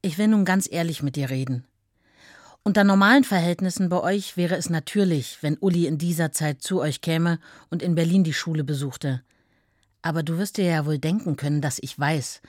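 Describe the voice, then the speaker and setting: A low-voiced, stern, worried mother. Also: darkish tone, no room sound, studio quality. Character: stern, worried mother writing a frank letter. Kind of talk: scripted letter reading in a documentary.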